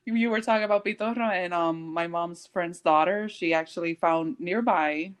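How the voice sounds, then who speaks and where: A woman's voice: on the low side, normal speed, smooth. A woman co-hosting a casual podcast, chatty and slangy, filling her talk with "like" and laughing often. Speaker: podcast co-host. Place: home in South Florida.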